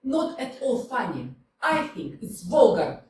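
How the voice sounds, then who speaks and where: A woman's voice: on the high side, sing-song, unhurried, darkish tone, slight background noise. A woman playing a prim, indignant widow. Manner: prim, clipped and reproachful. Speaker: amateur actor in a staged reading. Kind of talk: staged play reading. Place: small community theater stage. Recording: echoey hall from a distance.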